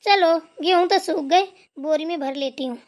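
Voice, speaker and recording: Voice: very high-pitched, moderate pace, no echo, clean studio sound. A performer voicing a dutiful, overworked village daughter-in-law in a Hindi cartoon, chatty and deferential. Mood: worried, grumbling. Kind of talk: Hindi family cartoon dialogue.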